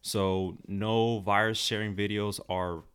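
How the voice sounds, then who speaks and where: A man with a lowish voice co-hosts a relaxed tech podcast, walking through news items point by point. He keeps a steady, explanatory delivery and laughs easily between segments. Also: takes it slowly, clean tone. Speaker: podcast co-host.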